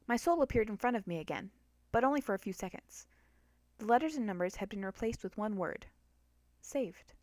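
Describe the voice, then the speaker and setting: A woman narrating a first-person story as a dramatic reading, in an expressive manner. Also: medium pitch, quick pace, dry recording.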